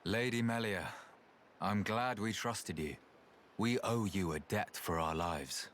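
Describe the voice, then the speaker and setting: A man's voice: fairly low pitch, slow, a bit bright, a little hiss or hum. A man playing a plain-spoken, easygoing companion fighter in a video game, talking casually. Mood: grateful. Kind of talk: video game cutscene acting.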